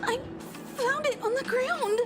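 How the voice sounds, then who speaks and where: A woman's voice: very high-pitched, a little slow. A woman voicing a timid, earnest little boy in a video game. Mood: tearful protest. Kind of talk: anime-style video game dialogue. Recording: background music throughout.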